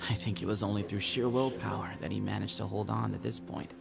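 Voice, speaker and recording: Earnest, creaky-voiced young temple heir. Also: male voice, lowish voice, steady tone, fast talker. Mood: subdued, respectful. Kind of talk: video game dialogue acting. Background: game music.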